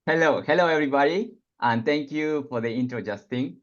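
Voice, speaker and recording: A man with a mixed Spanish and Japanese accent, speaking as a financial coach on a webinar in an earnest, measured way. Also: fairly high pitch, dull audio. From Argentina and Japan. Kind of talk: webinar self-introduction and commentary.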